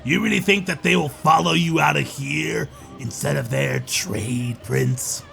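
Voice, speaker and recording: A man voicing an arrogant, greedy goblin trade prince with a gravelly, sharp voice and a blustering, insulting manner in a fan audio drama. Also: medium pitch, fairly even intonation, unhurried, faint music behind, heavily acted. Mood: defiant scorn.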